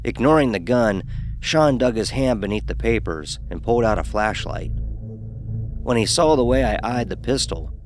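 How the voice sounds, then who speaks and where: A man's voice: medium pitch, animated, moderate pace, a little hiss or hum, lightly acted. A man playing a cocky, sarcastic young fraternity pledge who narrates his own horror story. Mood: storytelling. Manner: sarcastic, dismissive. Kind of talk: first-person horror story narration with acted dialogue.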